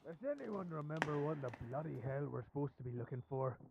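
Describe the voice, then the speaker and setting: A man's voice: a little high, lively intonation, brisk, gravelly, dull audio, background noise. A man playing a coarse, blustering thug henchman in an audio drama, with crude, cursing speech. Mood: grumbling. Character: coarse, loud-mouthed male thug henchman. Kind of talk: character audio roleplay drama.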